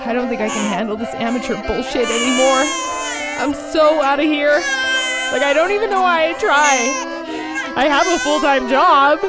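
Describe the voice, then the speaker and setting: A woman's voice: sits high, moderate pace, over loud music. A woman's prerecorded correspondent report in a synthetic voice, ranting in exasperation with swearing and mock sobbing. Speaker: remote correspondent.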